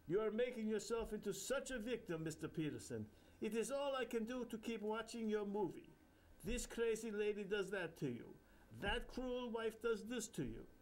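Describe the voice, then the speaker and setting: A man voicing a gleeful, giggling old male guru spirit with a highly nasal Indian accent and a bubbly, amused manner. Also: lively intonation, normal speed, low-quality recording. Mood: lecturing amused.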